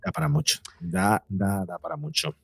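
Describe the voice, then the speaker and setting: A man's voice: a little high, big pitch swings, normal speed, creaky, fairly bright tone, slightly rough, no echo. A middle-aged man with a Spain accent answering conversationally as a podcast guest, reflective and repeating phrases for emphasis.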